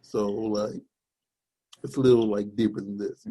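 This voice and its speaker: A young man with a deep voice in casual podcast chat, rambling and searching for words with many fillers. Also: fairly even intonation, unhurried, mellow.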